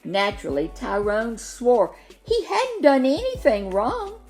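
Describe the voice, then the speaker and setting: Animated woman storyteller reading for children. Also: fairly high pitch, a little slow, soft background music. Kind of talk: expressive scripted children's story narration.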